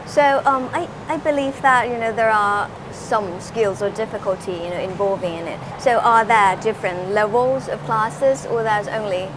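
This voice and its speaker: A woman's voice: mid-range voice, normal speed, hardly any pauses, noisy background. A woman hosting a TV interview show, asking questions politely with many "you know" fillers. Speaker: TV show host. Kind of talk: broadcast interview and scripted intro.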